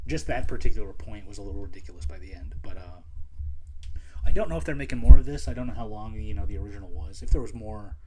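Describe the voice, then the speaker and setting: A man's voice: lowish voice, quick pace, mellow, slight background noise, poor audio. A young man casually reviewing anime on his own, rambling, swearing a lot and making fun of himself. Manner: rambling, profane, self-mocking. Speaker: podcast host reviewing anime. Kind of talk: casual solo chat.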